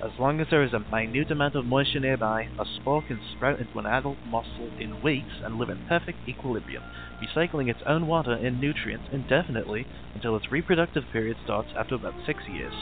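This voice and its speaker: A man reading scripted mock-documentary narration in a formal, measured, clinical manner. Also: mid-range voice. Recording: band-limited lo-fi audio with background music. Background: music.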